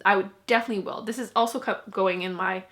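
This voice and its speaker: Young woman, French native speaker, bright tone, chatty, self-mocking, laughs a lot. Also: medium pitch, steady tone, fast. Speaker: book vlogger. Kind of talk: casual chat to camera.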